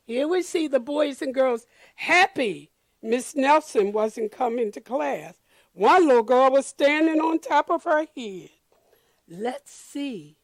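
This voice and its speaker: A woman reading a picture book aloud to children, deliberate and expressive, pausing to comment to her listeners. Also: sits high, no room sound. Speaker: storyteller for children.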